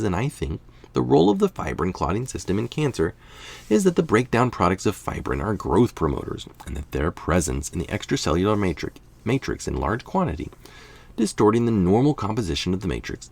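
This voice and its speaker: Deep, creaky-voiced man reading aloud. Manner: informal, musing, stumbles over technical words. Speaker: article reader and commentator. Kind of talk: reading a science article aloud with casual asides.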